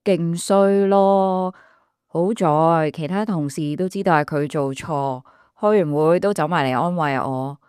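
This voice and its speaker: An actress with a Hong Kong Cantonese accent playing a chatty young office worker in a radio drama, casual and expressive with a friend. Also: female voice, low voice, a little slow, clean tone, no echo, clean studio sound. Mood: upset, complaining.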